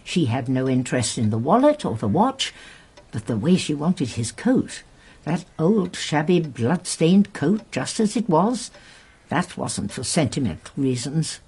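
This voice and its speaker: Old english lady detective for radio play, low mellow voice, gentle but shrewd. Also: big pitch swings, husky, heavily acted. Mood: sceptical, certain. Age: older. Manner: gentle, measured, genteel. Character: shrewd, courteous elderly lady amateur sleuth. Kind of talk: radio drama acting.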